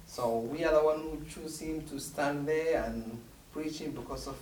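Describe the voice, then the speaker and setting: A man's voice: a little high, normal speed, darkish tone. Talkative man explaining. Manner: talkative, explains by comparisons, repeats words. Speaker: mosque community member. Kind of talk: casual interview explanation. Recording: roomy, distant mic, faint noise.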